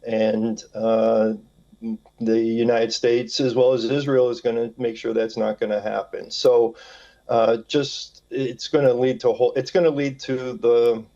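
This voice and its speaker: A man's voice: mid-range voice, flat delivery, a little slow, warm tone, muffled recording. A man speaking as an expert guest in a news interview, hesitant but assertive. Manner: hesitant, filler-heavy, assertive.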